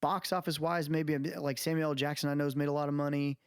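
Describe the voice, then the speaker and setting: A man's voice: on the high side, level tone, fast talker, clear, resonant tone, no echo, studio quality. A man talking casually and emphatically as a podcast co-host, giving opinions in informal, colloquial language. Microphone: close podcast mic on remote recording.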